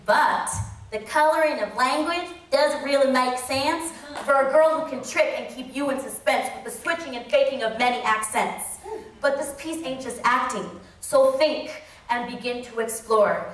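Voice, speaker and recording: A woman performing rhythmic spoken word poetry on stage, slow and emphatic. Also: sits high, a bit bright. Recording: echoey hall, mic far off.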